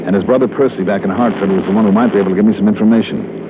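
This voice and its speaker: A male actor as a tough American private eye in a vintage radio drama. His voice is fairly low, with clipped, sardonic delivery. The recording is narrow and thick with noise. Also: very fast, natural voice. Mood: hard-boiled narrating. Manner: clipped, sardonic, hard-boiled. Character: tough, wry private investigator narrating his case. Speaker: radio detective narrator. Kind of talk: old-time radio drama acting.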